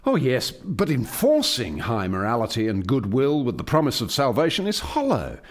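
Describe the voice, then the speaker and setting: A man acts as an alien scientist and creator in a radio drama. He is reflective and earnest, explains in formal language, and moves freely up and down around a medium pitch. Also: huge pitch swings, normal speed. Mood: reflective, regretful. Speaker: lead scientist. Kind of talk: radio play dialogue.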